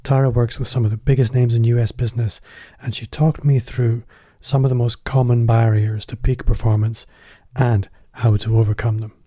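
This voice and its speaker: A man's voice: medium pitch, flat delivery, normal speed, hardly any pauses, mellow, breathy edge, dry recording. A man hosting a business podcast, chatty and a little self-deprecating, phrasing his questions loosely. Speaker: podcast host. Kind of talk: podcast interview.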